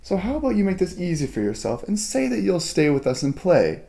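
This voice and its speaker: A man playing a sinister young ghost twin in an ASMR roleplay, teasing and romantic, with theatrical, drawn-out phrasing. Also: high voice, wildly swooping pitch, gravelly, mellow, full character voice. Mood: playful menace.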